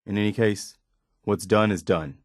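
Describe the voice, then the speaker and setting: An actor playing an earnest, principled militia officer in a video game, sincere and plain-spoken, loyal yet easily disappointed. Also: male voice, fairly low pitch, steady tone, normal speed, dry recording, clean studio sound, lightly acted. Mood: relieved, earnest. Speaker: video game companion character.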